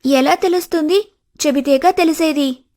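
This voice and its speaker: Woman acting as a high-pitched, money-minded young woman. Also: normal speed, warm tone, close to an everyday voice. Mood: coy, teasing. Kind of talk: scripted cartoon story dialogue.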